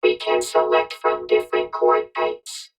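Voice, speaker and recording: A woman speaking through a vocoder that makes her voice a synthetic, robotic synthesizer tone, in slow demonstration phrases. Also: faint music behind. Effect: vocoder robot filter.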